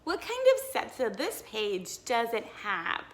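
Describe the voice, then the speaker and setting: A woman with a high, lively voice reads a picture book to young children like an encouraging teacher. She takes her time, swinging widely in pitch with exaggerated wonder, and stops to ask simple questions. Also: slow pace. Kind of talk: animated children's read-aloud with simple explanations and questions.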